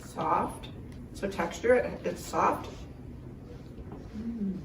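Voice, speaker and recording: Female guest judge, halting casual delivery, mid-range voice, distant with faint room noise. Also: mid-range voice, echoey room. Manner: halting, thinking aloud. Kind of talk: casual spoken judging.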